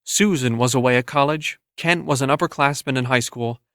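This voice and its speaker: A man's voice: mid-range voice, brisk, fairly bright tone, dry recording. Male audiobook narrator, dry close sound. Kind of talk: scripted first-person literary narration.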